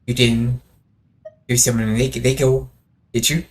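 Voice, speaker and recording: A man's voice: mid-range voice, flat delivery, moderate pace. A man live-streaming a video game with casual, brief commentary.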